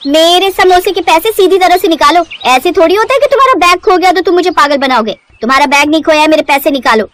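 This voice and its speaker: Woman playing a brazen, high-pitched quarrelsome street vendor. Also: expressive, quick pace, slight background noise. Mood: indignant, accusing. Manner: loud, scolding, accusing. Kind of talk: animated moral-story cartoon dialogue.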